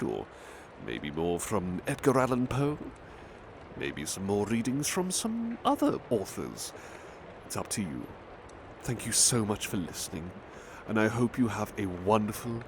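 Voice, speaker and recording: A man voicing a devoted, big-hearted butler who softly reads poetry aloud in an ASMR roleplay. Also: fairly low pitch, very animated, background noise. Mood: tender, calm. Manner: soft, unhurried, tender recitation. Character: gentle devoted male butler servant. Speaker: butler reading poetry to the listener.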